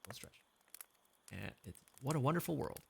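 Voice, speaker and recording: A man's voice: mid-range voice, animated, moderate pace, gravelly, darkish tone. A man streaming karaoke, chatting casually between songs, joking and reading chat messages aloud with a playful manner. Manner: joking, reads chat aloud, frequent laughs. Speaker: VTuber streamer.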